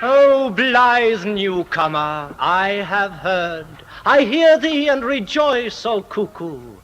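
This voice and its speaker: High, rapturous Romantic poet, hissy recording. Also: male voice, big pitch swings, full character voice. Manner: declamatory, drawn-out, precious. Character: rapturous, affected Romantic poet reciting his verse. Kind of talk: 1950s radio acting. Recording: very noisy, clicking old radio recording.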